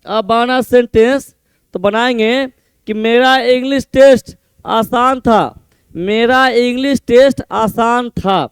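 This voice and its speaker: A male teacher, explaining idioms for an exam and repeating each meaning slowly as he writes. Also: very high-pitched, smooth, no room sound. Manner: mixes Hindi explanation with English example sentences. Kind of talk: exam-prep idiom lesson, writing on a board.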